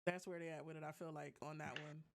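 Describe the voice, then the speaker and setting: A podcast co-host with a mid-pitched voice. The talk is casual and animated, full of informal slang, like friends chatting about gadgets and games. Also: quick pace, vocal fry. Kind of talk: casual tech and gaming chat.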